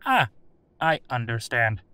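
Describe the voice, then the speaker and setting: Audio drama machine mind, male actor: cold, literal, formal old computer, hard bright tone, dry and clean. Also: mid-range voice, sing-song, slow, clear, resonant tone, no echo, exaggerated voice. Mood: literal, guarded. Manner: stilted, formal, literal, emotionless. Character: old male-voiced artificial intelligence, cold, literal, guarded.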